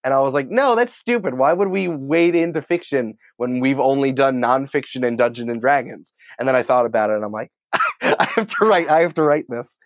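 A man's voice: mid-range voice, expressive, fairly quick. Guy being interviewed, casual and emphatic, kinda dull lo-fi sound but dry, no room echo. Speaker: podcast producer and writer, interview guest.

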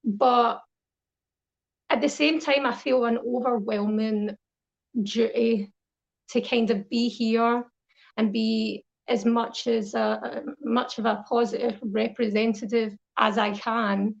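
A woman's voice: a little high, fairly even intonation, takes it slowly, gravelly, lo-fi sound. A woman from Scotland with a thick, rugged Scottish accent, speaking casually as an interview guest. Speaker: guest, online content creator and poet.

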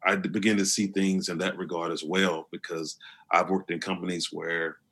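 A man with a deep voice, speaking earnestly as an interview guest, often restarting his sentences. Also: flat delivery, normal speed, fairly bright tone, breathy edge. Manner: earnest, with many restarts and fillers. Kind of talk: conversational interview.

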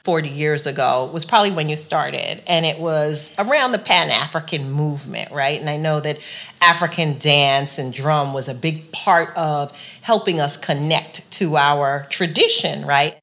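Low-pitched woman doing an interview, lots of encouraging little replies. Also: normal speed, a bit bright. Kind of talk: warm conversational interview.

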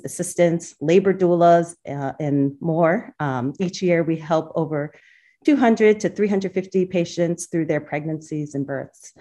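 Low-voiced woman doctor, calm. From Boston, US. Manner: thoughtful, frequent fillers. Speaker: obstetrician-gynecologist guest. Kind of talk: podcast interview.

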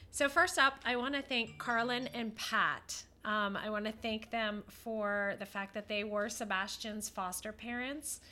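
A woman co-hosting a podcast in casual chat, stuffed up with a head cold so she sounds a little nasal, talking with plenty of fillers and pauses. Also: a little high, bright, forceful tone. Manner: chatty, with many fillers and pauses. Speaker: podcast co-host.

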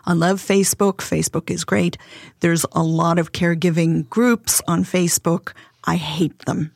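A woman's voice: on the low side, steady tone, vocal fry, dry recording, studio quality. Earnest woman with a light South African accent. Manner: earnest, measured, explanatory. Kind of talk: interview answers.

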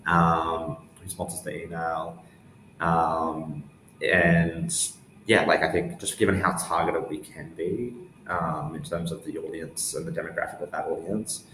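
Casual young male guest, deep voice, halting delivery, echoey room. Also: flat delivery, fairly bright tone. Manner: casual, halting, thinking aloud. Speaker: interview guest, newsletter founder. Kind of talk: casual interview answers. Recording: roomy echo, faint background noise.

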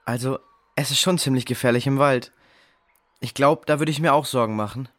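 A sensible, concerned boy, played by a male actor in a children's adventure audio drama. The voice is medium in pitch. He speaks naturally and with curiosity. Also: fairly quick, a bit bright, close to an everyday voice. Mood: curious, concerned. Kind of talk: children's audio play acting.

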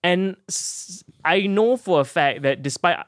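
A man's voice: sits high, unhurried, no echo, studio quality. A man from Singapore, a stand-up comedian and podcast guest, talking bluntly and candidly with frequent restarts. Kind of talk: casual podcast chat.

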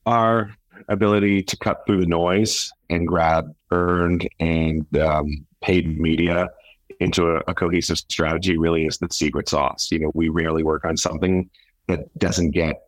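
Very deep, even-toned man being interviewed. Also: moderate pace, no room sound, low-quality recording. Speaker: media company founder and CEO, interview guest. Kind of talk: interview talk.

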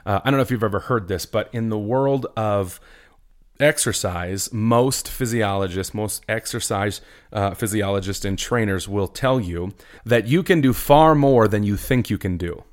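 Earnest lower-voiced man preaching on change. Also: normal speed. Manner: earnest, preachy, often asks "right?". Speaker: pastor. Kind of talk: conversational podcast talk.

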